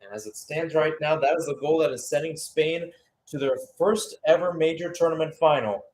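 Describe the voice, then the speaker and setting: Higher-voiced man calling live football. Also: moderate pace, darkish tone, rough recording. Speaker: football play-by-play commentator. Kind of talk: live radio-style sports commentary.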